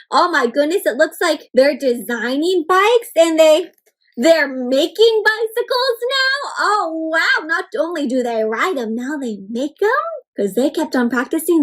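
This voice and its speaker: A young woman with a high voice reads a picture book aloud to children and talks with them as she goes. Her delivery is animated and encouraging, and she speaks directly to her young listeners. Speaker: children's storybook reader and host. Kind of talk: interactive read-aloud for kids.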